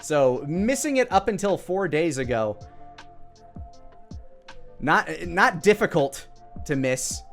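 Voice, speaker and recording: A man in his thirties giving casual reaction commentary as host, rambling with joking, self-deprecating asides. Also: a little high. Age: 30s. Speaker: host commenting on own abridged anime series.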